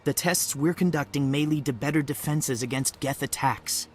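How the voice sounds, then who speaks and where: An actor playing a defensive, formal human military bureaucrat in a video game, earnest in delivery. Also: male voice, fairly high pitch, normal speed, close to an everyday voice. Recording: faint noise and ambience.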